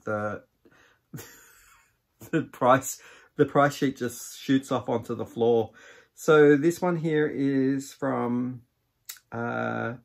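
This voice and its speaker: Slow-paced man chatting about his hobby. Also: medium pitch. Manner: unhurried, musing, thinking aloud. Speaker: yarn vlogger, host. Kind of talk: casual product review chat to camera. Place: small indoor craft room with shelves of yarn.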